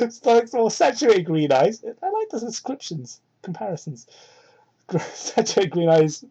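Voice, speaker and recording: A woman with a husky, unpolished voice reads fan fiction aloud for comedy. She keeps breaking off to heckle the text with exasperated swearing. The recording comes from a cheap, crude mic. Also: big pitch swings, a little slow, warm tone, highs cut off. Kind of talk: comedic dramatic reading with asides. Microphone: cheap home microphone. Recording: crude, low-quality microphone.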